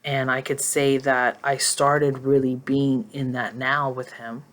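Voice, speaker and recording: A woman's voice: very deep voice, level tone, takes it slowly. A woman in her forties talking casually and emotionally to her phone in a personal vlog, rambling and reflective. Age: 40s. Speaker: vlogger. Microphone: phone held in hand.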